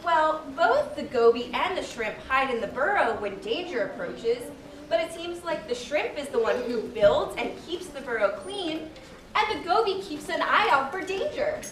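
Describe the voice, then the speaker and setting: A woman's voice: sits high, very animated, moderate pace. A woman playing a curious, eager young female naturalist who observes with wonder in a children's puppet show. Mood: friendly. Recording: roomy distant live recording with clear noise.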